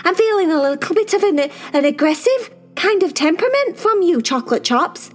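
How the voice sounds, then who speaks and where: A woman voicing an excitable, chatty young girl who gushes and fires off question after question. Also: extremely high pitch, faint music behind, voice pushed up. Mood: wary. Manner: gushing, rapid-fire questions, squealing.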